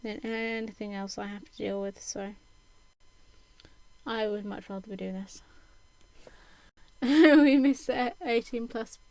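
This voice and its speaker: A young woman streaming while she sews, talking hesitantly with many pauses and fillers, her throat a bit croaky. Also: fairly high pitch, steady tone, darkish tone. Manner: hesitant, lots of ums, self-deprecating laughs. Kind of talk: casual chat while sewing. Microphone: desk microphone.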